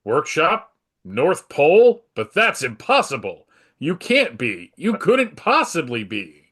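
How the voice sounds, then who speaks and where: Guy reading as an earnest superhero, slightly high for a man, dramatic, lively pitch. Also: slow pace, slightly rough, heavily acted. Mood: dazed, disbelieving. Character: earnest, reassuring adult male superhero.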